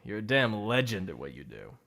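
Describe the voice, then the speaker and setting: A man's voice: on the low side, big pitch swings, a little fast, gravelly, fairly bright tone, heavily acted. A man performing a boastful, playful supervillain for an ASMR roleplay, with a secret obsession behind the show. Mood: gloating showman. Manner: showy, mocking, playful.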